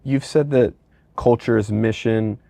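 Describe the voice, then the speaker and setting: Middle-aged man, lowish voice, dry sound. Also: a little slow, creaky, warm tone, dry recording. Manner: casual, rambling anecdotes and questions. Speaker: podcast host, company leader. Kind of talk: conversational interview.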